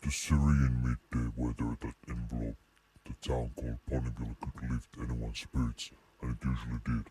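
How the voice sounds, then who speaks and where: A man voicing a huge menacing demon reading a storybook, his voice pitched down with effects into a heavy boom. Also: level tone, normal speed, warm tone, slightly rough. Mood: solemn, menacing. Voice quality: pitched-down, heavy, booming low end. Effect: pitch shifter, bass boost, compression, reverb.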